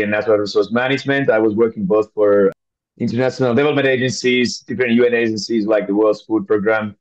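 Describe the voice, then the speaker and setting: Male interview guest, Finnish accent, medium pitch, conversational, slightly muffled lo-fi sound. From Finland. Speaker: podcast guest, scientist.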